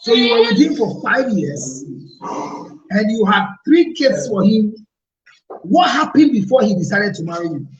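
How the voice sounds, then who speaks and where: A man's voice: high-pitched, very animated, measured pace, roomy sound, muffled recording. A man with a Ghanaian English accent hosting a counselling show, firmly repeating his questions. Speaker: relationship counselor host.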